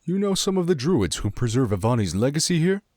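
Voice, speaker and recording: A man's voice: mid-range voice, very animated, a little fast, darkish tone, dry recording, studio quality. A man playing an earnest, polite druid pilgrim in a fantasy audio drama, formal and inquisitive. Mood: curious.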